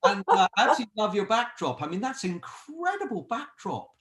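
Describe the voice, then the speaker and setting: A man's voice: high voice, sing-song, fairly quick, fairly bright tone. A man hosting an online presentation in an informal, effusive and enthusiastic way. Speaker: host. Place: online group call.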